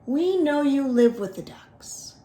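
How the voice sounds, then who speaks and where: A woman's voice: sits high, animated, slow, mellow, slight background noise, pitched above the performer's own voice. A woman voicing a greedy, scheming bad crocodile in a storybook, emphatic and coaxing as it relishes its hunger. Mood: sly, commanding. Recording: roomy echo of a home room.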